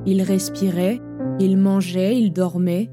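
Woman narrating a story, measured and solemn, piano playing clearly underneath. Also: mid-range voice, fairly even intonation. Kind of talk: scripted audio drama narration. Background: piano music.

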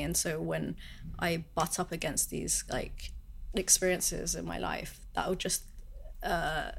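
A woman artist who was raised partly in London, speaking in a halting, searching way with many pauses and fillers. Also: on the low side, gravelly. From born in Malaysia, raised in Sharjah, then London from six. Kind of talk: reflective interview talk.

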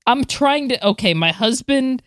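A woman's voice: high voice, lively intonation, normal speed, fairly bright tone, no echo, clean studio sound. A woman co-hosting a podcast, chatting casually and reacting with enthusiastic exclamations. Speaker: podcast co-host.

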